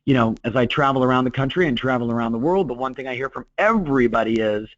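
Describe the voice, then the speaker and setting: Man, middle-aged, motivational coach, energetic, many fillers, dry dull lo-fi audio. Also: medium pitch, fast talker, no room sound. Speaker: real estate coach presenting a webinar. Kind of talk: webinar talk.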